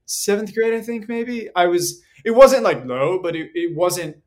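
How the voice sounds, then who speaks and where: A man's voice: high voice, expressive, a little slow. A man in his twenties, a singer and guest, chatting casually with many fillers and laughs. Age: 20s. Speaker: guest performer. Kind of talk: casual interview chat.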